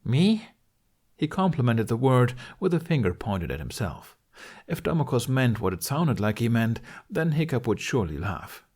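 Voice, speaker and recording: A man's voice: medium pitch, big pitch swings, moderate pace, darkish tone, breathy edge. A man narrating a fantasy story as an audiobook, reading scripted prose expressively.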